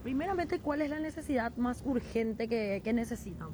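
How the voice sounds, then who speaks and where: A woman's voice: fairly high pitch, lively intonation, normal speed, background noise. A woman reporter asking brief, prompting questions in a field interview.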